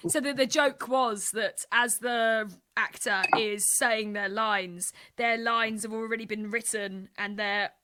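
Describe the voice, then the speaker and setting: A woman's voice: fairly high pitch, steady tone, slow, few pauses, very noisy. A British woman reminiscing in casual conversation, with an effusive way of talking.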